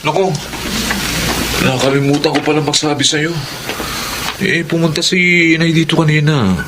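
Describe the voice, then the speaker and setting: An actor playing a placating, evasive husband in a radio drama, stammering and soothing, with a Filipino accent. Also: male voice, a little high, normal speed, background noise, close to an everyday voice. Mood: sheepish, placating. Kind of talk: Tagalog radio drama acting.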